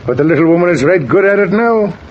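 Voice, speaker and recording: A man's voice: sits high, animated, fast talker. An actor playing a jovial, folksy Irish family man in 1940s radio drama, with a hearty manner. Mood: genial, curious. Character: jovial, folksy Irishman, proud father of four. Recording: dull, noisy old radio transcription.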